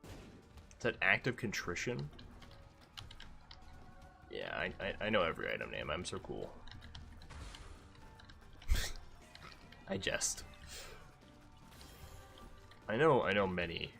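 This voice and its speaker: A man's voice: sits low, wildly swooping pitch, slow pace, gravelly, long pauses, bright, forceful tone, soft background music. A man with a stuffy, congested voice giving rambling, self-mocking commentary as he streams a game. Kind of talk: casual gameplay commentary.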